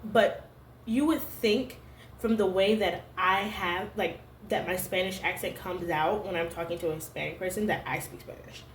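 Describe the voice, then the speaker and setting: A young adult woman vlogging to camera at home, talking in a halting, searching way full of "like" fillers. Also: fairly low pitch, slight background noise. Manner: hesitant, halting, heavy use of "like" fillers. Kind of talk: personal confessional vlog. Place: room at home. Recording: roomy home echo.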